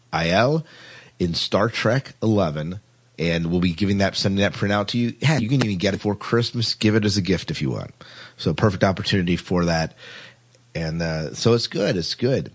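A man's voice: low-pitched, expressive, normal speed, husky. A man hosting a podcast in a casual, informal, rambling way. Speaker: podcast host.

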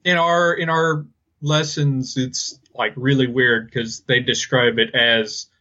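A man hosting a casual podcast, speaking hesitantly and haltingly as he thinks aloud. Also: mid-range voice, flat delivery, pushed and bright, lo-fi sound. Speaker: podcast host.

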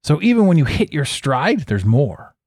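A man's voice: on the high side, big pitch swings, normal speed, no room sound. Intense man with a dry close sound. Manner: intense, repeats words, swings between loud and quiet. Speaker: podcast host. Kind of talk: solo podcast monologue.

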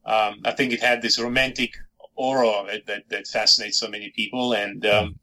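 Middle-aged man from Georgia, native Georgian speaker, thoughtful historian guest, bright gravelly tone. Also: lowish voice, level tone, a little fast, poor audio. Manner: thoughtful, many fillers and restarts. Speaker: historian and author. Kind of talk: podcast interview answers.

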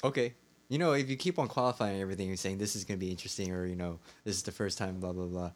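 Low-voiced man with dry self-mocking humor. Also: very fast. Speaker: podcast co-host. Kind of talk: casual chat. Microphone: cheap makeshift mic.

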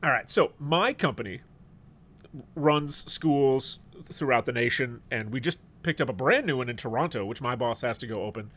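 A man's voice: mid-range voice, normal speed, a bit bright. A middle-aged man hosting a podcast in casual chat, a bit stuffed up and sniffly, rambling and joking. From United States. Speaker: podcast host.

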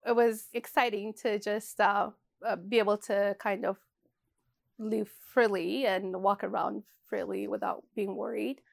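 A woman's voice: mid-range voice, fairly even intonation, a little slow, gravelly, no room sound, studio quality. A woman in her forties from Ethiopia, talking in an interview in a hesitant way, with many fillers and restarts. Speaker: interview guest, shop co-owner. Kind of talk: casual interview.

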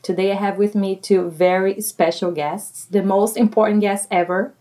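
A woman in her forties from Brazil, speaking English with a Brazilian Portuguese accent and hosting a podcast in an earnest, measured way. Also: mid-range voice, steady tone, mellow, no room sound. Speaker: podcast host. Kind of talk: scripted podcast intro and casual chat.

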